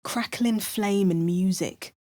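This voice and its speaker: A woman's voice: medium pitch, takes it slowly, darkish tone, lightly acted. An actress voicing a female fantasy adventurer hero in game voice lines, with a put-on Cockney accent and a dry, muttering way of commenting. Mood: wry. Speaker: player character.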